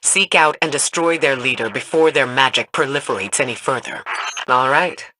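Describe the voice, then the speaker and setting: An actor playing a brisk, commanding officer figure. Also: mid-range voice, bright, forceful tone, dry recording.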